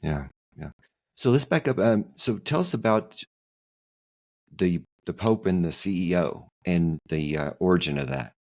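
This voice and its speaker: A man's voice: low-pitched, lively intonation, a little slow, a little mellow. A man hosting a podcast interview, giving brief affirmations and prompting questions. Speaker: podcast host.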